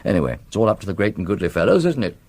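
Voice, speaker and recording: An actor playing a genteel middle-aged British academic in a radio drama, drily witty, courteous and a little hesitant. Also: male voice, low-pitched, sing-song, very fast, darkish tone. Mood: wry, reassuring. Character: genteel, wry middle-aged male academic declining a contest.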